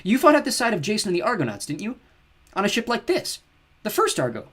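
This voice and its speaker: A man's voice: high-pitched, wildly swooping pitch, quick pace, fairly bright tone, breathy edge, pitched above the performer's own voice, heavily acted. A man voicing a brave, persuasive teenage demigod girl in an audiobook reading. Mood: coaxing. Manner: earnest, coaxing.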